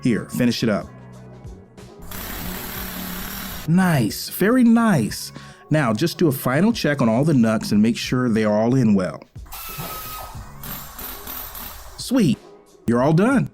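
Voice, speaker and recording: A man acts out a middle-aged dad in a family drama. He is plain-spoken and teacherly, firm and caring at once. His pitch moves around freely, and music plays underneath. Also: very animated, normal speed, darkish tone, natural voice. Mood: warm, encouraging. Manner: instructive, plain-spoken, colloquial. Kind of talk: naturalistic screen drama acting.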